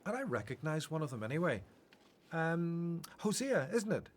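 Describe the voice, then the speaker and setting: Man acting gentle prophet-teacher, husky, widely varied pitch, assured, earnest, radio play. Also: very animated, normal speed. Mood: gentle, caring. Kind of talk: radio Bible drama acting.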